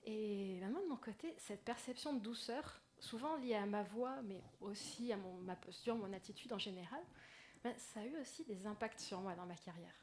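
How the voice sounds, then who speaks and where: A woman with a soft, gentle voice, speaking to an audience in a personal, lightly self-deprecating conference talk. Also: mid-range voice, normal speed. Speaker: conference speaker, agile coach. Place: indoor conference room. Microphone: stage microphone. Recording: noisy live microphone in a talk room.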